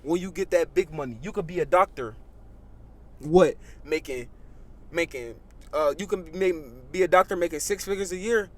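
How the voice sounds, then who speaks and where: Eager eighteen-year-old guy, high voice. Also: normal speed. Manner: animated, repeats "you know what I mean" and "bro". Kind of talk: casual chat.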